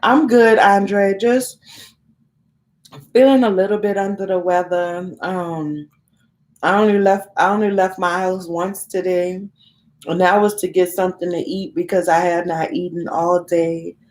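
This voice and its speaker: A woman's voice: fairly low pitch, steady tone, measured pace, a little mellow. A woman in her thirties with a congested cold, talking candidly and rambling to viewers on a live stream. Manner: rambling, candid, swears casually. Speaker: vlogger hosting a live stream.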